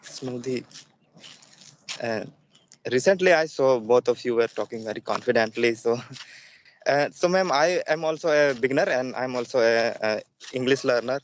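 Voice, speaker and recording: A man's voice: mid-range voice, normal speed, pauses often, muffled recording, slight background noise. Hesitant young man from Jharkhand, native Santhali speaker. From Jharkhand, India. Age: young adult. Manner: hesitant, many fillers, polite "ma'am". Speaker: student, English learner. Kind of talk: video call conversation practice. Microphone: video call.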